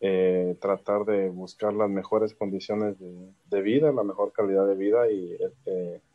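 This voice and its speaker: A man's voice: sits low, fairly even intonation, measured pace, highs cut off. A man from Guatemala asking a doctor a question on a video call, polite and hesitant, with many fillers.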